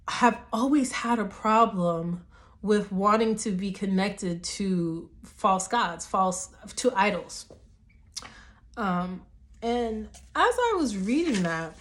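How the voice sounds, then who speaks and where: A woman minister speaks slowly, her voice a little raspy and deep. She teaches a devotional message, starting soft and hushed and building to emphatic preaching.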